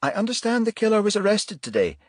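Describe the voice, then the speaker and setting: A man voicing a brisk, formal woman television reporter with polite, polished delivery in an audiobook. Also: high-pitched, very animated, no echo, studio quality, voice pushed up. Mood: formal, inquisitive. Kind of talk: audiobook character voice.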